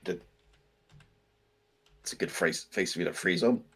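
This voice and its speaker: A man's voice: low-pitched, moderate pace, gravelly, a bit bright, husky. A man with an English accent, originally from Belgium with French as his first language, co-hosting a casual live stream in a rambling, dry, self-deprecating way. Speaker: co-host.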